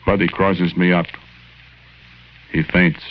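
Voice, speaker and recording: Hapless ghost, American, deep register, folksy bad grammar, old radio drama, dull lo-fi sound. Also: male voice, little pitch movement, takes it slowly. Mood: resigned storytelling. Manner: folksy, ungrammatical, hesitant, plain-spoken. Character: hapless, lovelorn working-class ghost telling his own story. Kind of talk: 1940s radio drama narration. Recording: muffled 1940s radio recording, faint music.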